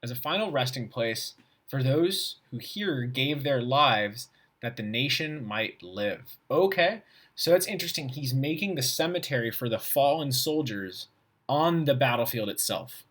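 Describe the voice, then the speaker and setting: A man with a mid-pitched voice gives a read-aloud demonstration as a teacher. He moves between reading out formal text and thinking out loud about it, pausing to puzzle and rephrase in an informal way. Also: lively intonation. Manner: informal, pausing to puzzle over and paraphrase what he reads.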